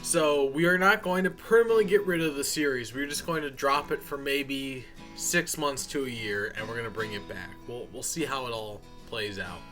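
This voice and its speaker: A man's voice: mid-range voice, moderate pace, few pauses, a bit bright, soft background music. A man giving a casual, unscripted update as a channel host, talking informally in a folksy way and addressing viewers as y'all.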